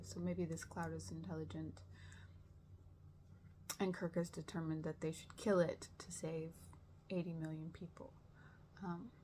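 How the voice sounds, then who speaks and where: A woman giving casual reaction commentary as a show host, expressive and playfully dramatic, with a self-deprecating way of talking. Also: low-pitched, a little hiss or hum.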